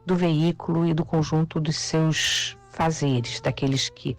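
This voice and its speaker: An interviewed expert explaining in a thoughtful way, pausing often with fillers. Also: mid-range voice, steady tone, faint music behind.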